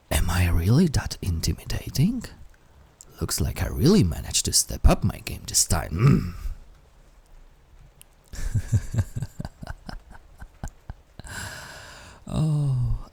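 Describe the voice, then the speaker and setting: A man playing an incubus demon in an intimate roleplay audio, with a husky, breathy voice that often drops toward a whisper. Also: on the low side, warm tone, full character voice. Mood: menacing, teasing. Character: incubus sleep paralysis demon, menacing turned awkwardly tender.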